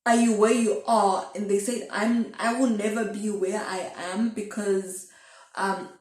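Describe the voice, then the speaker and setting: An echoey room surrounds a young woman with a mid-pitched voice. She talks casually to camera in a confessional vlog style, thinking aloud and wandering from one thought to the next. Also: level tone, unhurried, a little mellow. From Zimbabwe. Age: twenties. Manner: rambling, thinking aloud, self-questioning. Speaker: video blogger.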